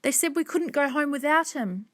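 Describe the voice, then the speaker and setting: Woman as a young girl, storybook character, high voice, anxious, earnest, pleading, persistent, close dry sound. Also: expressive, moderate pace, dry recording. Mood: urgent, insistent. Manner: urgent, apologetic, pleading. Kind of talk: dramatised storybook narration.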